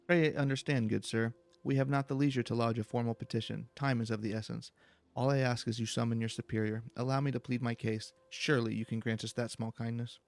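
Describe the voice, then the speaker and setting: A man's voice: medium pitch, fairly even intonation, a little fast, vocal fry, dry recording, close to an everyday voice. A man voicing an earnest foreign petitioner in a fantasy game, pleading in formal, urgent words.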